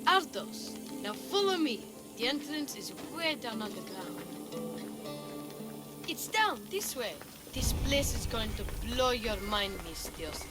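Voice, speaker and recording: An actress voicing a feisty, earnest child adventurer in a video game, with a plucky, dramatic way of telling things. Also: female voice, a little high, very animated, slow, over loud music. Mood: hopeful, eager. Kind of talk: video game cutscene acting.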